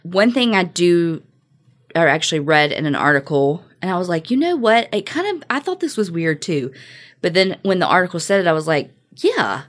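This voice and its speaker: A woman's voice: fairly low pitch, expressive, moderate pace. An American woman co-hosting a podcast, telling a story in a casual, conversational way with informal, joking asides. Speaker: true-crime podcast co-host.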